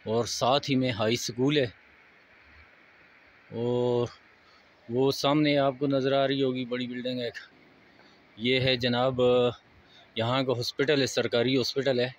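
A man with a Pakistani Urdu accent, walking a mountain road and calmly pointing out sights to his viewers. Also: medium pitch. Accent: Pakistani Hindko-area Urdu. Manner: unhurried, pointing things out to viewers. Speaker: travel vlogger. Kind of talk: casual walking tour narration.

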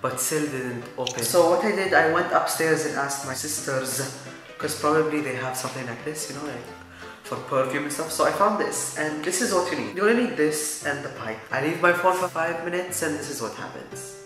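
A man's voice: a little high, brisk, fairly bright tone, very echoey, faint music behind, low-quality recording. A teenage boy from Dubai with an Arabic accent, rambling goofily through a casual do-it-yourself vlog. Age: teens. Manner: goofy, rambling, self-mocking. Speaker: YouTuber. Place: small room, indoors. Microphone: camera mic at close range.

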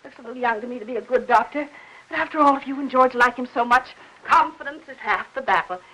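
A bright, high-voiced middle-aged woman chatters and fusses like a well-meaning aunt. She is talkative and gossipy, in the manner of an early-fifties Hollywood melodrama, on an old film soundtrack. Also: fast talker, rough recording. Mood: fussy, chatty.

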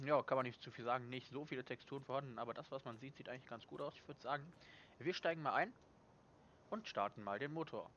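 A man's voice: mid-range voice, a little fast, highs cut off, slight background noise. Chatty man with a slight cold. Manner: relaxed, chatty, frequent self-corrections and apologies. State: slightly congested with a cold, clears throat. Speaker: gaming channel host. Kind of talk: casual let's-play commentary.

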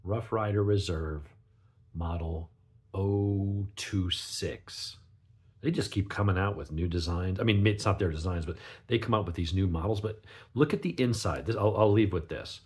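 Deep gravelly man with a sniffly cold. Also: moderate pace, a little mellow. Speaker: knife reviewer, channel host. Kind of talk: casual unscripted product review.

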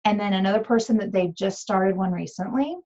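A woman's voice: medium pitch, fairly quick, dark tone. A woman hosting a webinar, moderating casually over dull video-call audio. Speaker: webinar host.

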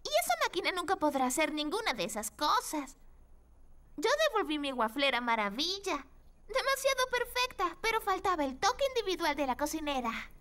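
Very high, lively little cartoon girl. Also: brisk, heavily acted. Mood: warm, reassuring. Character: sweet, earnest, supportive little girl cartoon heroine. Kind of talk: animated children's series dub. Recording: music bed behind the voice.

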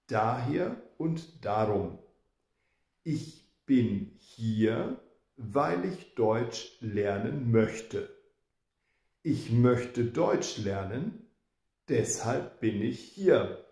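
Teacher, male, 50s; gravelly voice, unhurried, talking straight to camera. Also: mid-range voice, mellow, echoey room. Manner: slow, deliberate explaining with repeated example sentences. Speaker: German teacher. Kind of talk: grammar lesson to camera. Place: indoor room with plants behind. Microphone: camera in front, head and shoulders.

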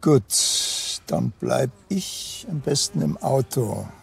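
An actor playing a laconic, grumpy police detective in a crime comedy, terse and deadpan. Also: male voice, mid-range voice, takes it slowly, gravelly, heavily acted. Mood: grumbling.

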